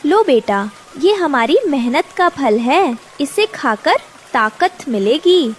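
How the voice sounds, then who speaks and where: A woman's voice: high-pitched, expressive, takes it slowly, slight background noise. A woman voicing a poor, devoted mother bird in a children's cartoon, earnest and plaintive. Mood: hopeful, tender.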